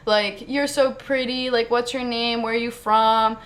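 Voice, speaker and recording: A young American woman telling a travel story to camera in a chatty, casual way, full of fillers and quick laughs. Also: fairly high pitch, steady tone, fairly bright tone. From United States. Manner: chatty, fast, lots of "like", laughs often. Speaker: travel vlogger.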